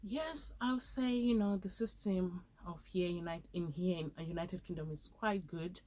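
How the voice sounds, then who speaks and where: Woman in her 20s from Zimbabwe, interview guest, earnest storyteller, lowish voice. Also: moderate pace, mellow. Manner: earnest storytelling, acts out quoted speech. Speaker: guest, accountant. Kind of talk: interview answers.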